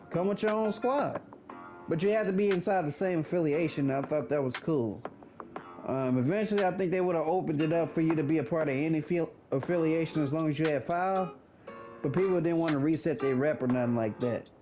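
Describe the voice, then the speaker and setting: Thirty-year-old man, high voice, casual blunt gamer commentary over music. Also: a little fast. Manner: laid-back, blunt, swears casually. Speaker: gaming commentator.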